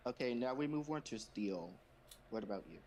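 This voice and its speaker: A man's voice: mid-range voice, lively intonation, a little fast, darkish tone. A man hosting a casual group question-and-answer chat on a voice call. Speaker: host of a fan comic dub Q&A stream. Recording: noisy home microphone.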